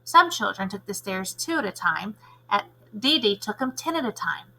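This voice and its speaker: A woman's voice: medium pitch, very animated, moderate pace, pushed and bright, a little hiss or hum, rough recording. A woman reading a children's storybook aloud as a teacher would.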